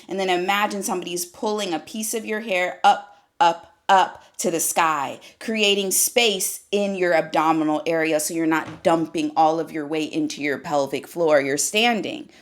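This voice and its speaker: A woman's voice: lowish voice, fairly bright tone. A woman in her thirties, a yoga teacher, talking casually and candidly to camera from a seat indoors, with loose phrasing and mild swearing. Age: 30s. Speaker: yoga teacher and fitness vlogger. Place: seated indoors.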